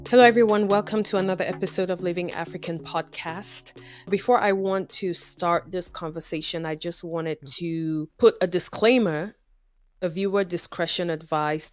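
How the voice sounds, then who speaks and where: A woman's voice: on the low side, dry recording, clean studio sound. A woman hosting an interview podcast, speaking in formal, polite phrases. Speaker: podcast host.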